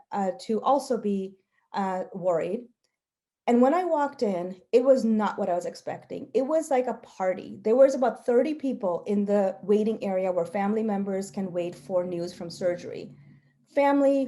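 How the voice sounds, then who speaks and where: A woman researcher, a native Gujarati speaker, giving a prepared talk with personal storytelling in an earnest, measured, emphatic way. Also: mid-range voice, dark tone. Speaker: health researcher presenting.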